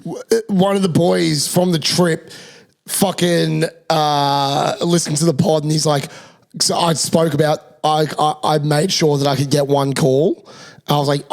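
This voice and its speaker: A man's voice: a little high, little pitch movement, unhurried, vocal fry. A man of twenty-five with an Australian accent, telling rowdy, sweary stories as host of a casual podcast. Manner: rowdy, sweary, laughing storyteller.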